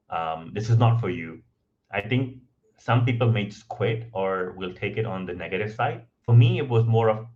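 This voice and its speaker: A man speaking English as a second language, guesting on a podcast and telling his story in a relaxed, conversational way, with many ums and "right?" tags. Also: fairly low pitch, narrow, tinny audio. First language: not English. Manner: frequent ums and restarts, tag questions of "right?".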